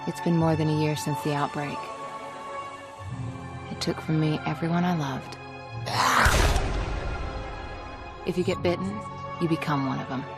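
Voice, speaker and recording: Hardened gravelly woman survivor. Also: low-pitched, steady tone, moderate pace, pauses often. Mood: grim, detached. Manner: brooding, terse, dramatic. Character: hardened, world-weary woman survivor of a zombie outbreak. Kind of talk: noir-like scripted game cutscene narration. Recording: loud music over the voice.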